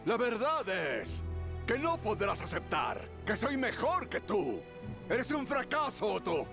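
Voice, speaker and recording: A man's voice: high voice, huge pitch swings, slow, gravelly, heavily acted. An actor playing an arrogant, cruel male tycoon and mayor in a video game, speaking in a cold, taunting way. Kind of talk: video game dub acting. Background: game music and rain.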